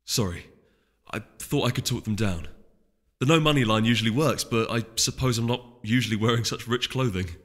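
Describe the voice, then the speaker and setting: Casting: man as easygoing young storybook stallion, polite, hesitant, bright lively voice, hall reverb. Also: mid-range voice, fairly quick, natural voice. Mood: sheepish. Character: amiable, easygoing young male winged-unicorn prince type. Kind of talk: audiobook character voice. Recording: echoey hall reverb, music behind.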